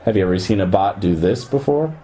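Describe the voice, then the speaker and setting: A man's voice: lowish voice, expressive, brisk, warm tone, natural voice. An actor playing an earnest, insistent male programmer in radio play dialogue.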